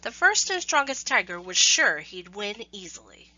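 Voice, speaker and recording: A woman reads a children's story aloud in scripted narration, with expressive, deliberate phrasing. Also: mid-range voice, no room sound, lo-fi sound.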